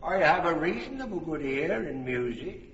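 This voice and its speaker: Bottom, a bumbling donkey-headed weaver. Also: male voice, fairly high pitch, big pitch swings, moderate pace, a bit bright, echoey room, in a large hall, lo-fi sound. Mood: contented. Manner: bumbling, pleased with himself. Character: Bottom, a boastful weaver with a donkey's head, comic. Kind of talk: classic stage radio drama.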